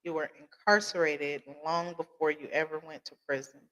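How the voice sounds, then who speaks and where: A man's voice: on the high side, fairly even intonation, moderate pace, sharp tone, low-quality recording. A man hosting a podcast interview, reacting to his guest with frequent short affirmations and follow-up questions. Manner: responsive, lots of short affirmations. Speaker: podcast host.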